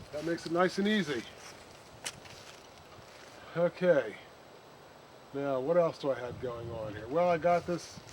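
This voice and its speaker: A man hosting an unscripted hands-on plant demonstration, speaking haltingly and thinking aloud as he works. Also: on the high side, slight background noise, low-quality recording. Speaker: bonsai hobbyist host.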